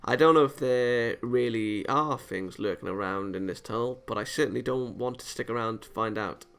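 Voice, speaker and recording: A man's voice: mid-range voice, moderate pace, gravelly, a bit bright, smooth. Man reading a visual novel aloud. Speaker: video game let's player.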